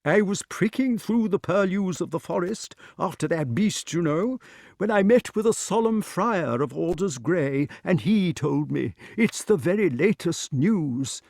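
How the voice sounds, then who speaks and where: Dithering elderly king, storybook character, high swooping voice, flustered, petulant, interjection-peppered. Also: animated, measured pace, gravelly, darkish tone, no room sound, studio quality, exaggerated voice. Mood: chatty.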